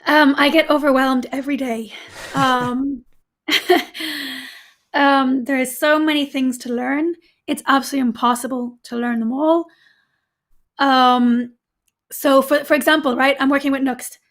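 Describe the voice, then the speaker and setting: A woman with a high voice that's gone hoarse chats casually on a video call. She rambles with plenty of ums and frequent laughter. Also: steady tone, unhurried, pauses often, fairly bright tone. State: hoarse, recovering voice. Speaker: guest developer advocate. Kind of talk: casual live stream chat.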